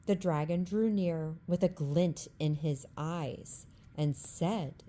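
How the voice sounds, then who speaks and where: A woman reading a rhyming bedtime story aloud in a calm, rhythmic ASMR style. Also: low-pitched, takes it slowly.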